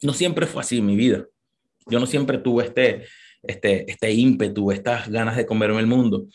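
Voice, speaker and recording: A man's voice: moderate pace, darkish tone. A man in his thirties with a Venezuelan accent, giving an emphatic motivational talk to an audience. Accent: Venezuelan Spanish. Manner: emphatic, rising to high passionate peaks; repeats words, talks straight to the listener. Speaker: motivational speaker.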